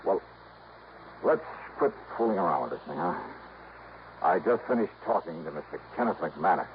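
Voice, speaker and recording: An actor playing a wry, relentless insurance investigator in a 1950s radio detective drama, brisk and pointed. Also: male voice, low-pitched, sing-song. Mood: pressing, wry. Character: hard-boiled male freelance insurance investigator, wry, relentless. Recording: dull, noisy old radio recording.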